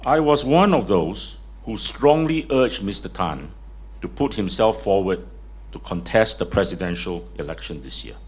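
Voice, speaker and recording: A man giving a formal, measured speech at a press conference. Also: fairly low pitch, expressive, husky. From Singapore. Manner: measured, formal speaking delivery. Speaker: party leader and lawyer speaking at a press conference. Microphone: speaks without a mic at first.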